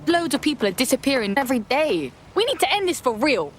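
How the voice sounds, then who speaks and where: An actress playing a streetwise young London hacker activist in a game, with a slangy, blunt, casual delivery. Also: female voice, high voice, big pitch swings, fast talker. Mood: concerned, determined. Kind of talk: game voice acting. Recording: clear background vehicle noise.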